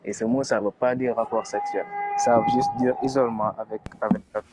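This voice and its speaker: A man's voice: mid-range voice, steady tone, brisk, very noisy. A man calling into a live debate, hesitant and stammering over his words.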